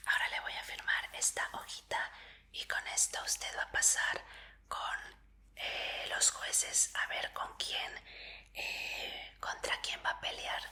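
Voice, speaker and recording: A woman in an ASMR roleplay as a medical examiner, speaking in a breathy whisper with a gentle, polite, reassuring manner. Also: takes it slowly.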